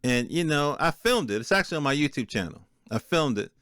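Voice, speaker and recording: A man's voice: on the high side, big pitch swings, a little fast, fairly bright tone, dry recording, studio quality. A man hosting a podcast solo, talking off the cuff in a streetwise, colloquial way. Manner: streetwise, colloquial, peppered with profanity. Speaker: podcast host. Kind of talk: casual, unscripted solo monologue.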